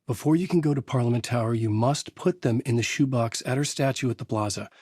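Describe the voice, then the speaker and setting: An actor playing a troubled novelist in a horror video game, narrating his dark story in a husky, grave, deliberate noir style. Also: mid-range voice, dry recording, clean studio sound, natural voice. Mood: grave, brooding.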